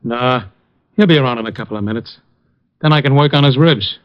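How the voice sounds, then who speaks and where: A man's voice: medium pitch, fairly quick, natural voice. Man as thuggish henchman, muffled old radio. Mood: insistent. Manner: slangy, ungrammatical tough talk. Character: thuggish gun-toting henchman, eager for violence. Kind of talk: old-time radio detective drama acting. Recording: muffled vintage radio recording.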